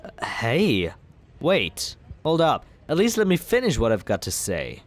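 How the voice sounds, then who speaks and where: A man in an ASMR roleplay as a villain, talking smoothly and persuasively in a teasing, flirtatious way. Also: medium pitch, huge pitch swings, a little slow.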